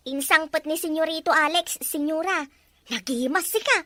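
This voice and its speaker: A woman playing an excitable, sweet young woman who gushes and exclaims in a melodramatic audio drama. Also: high-pitched, moderate pace. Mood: chatty, eager. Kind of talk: melodramatic radio-style drama acting.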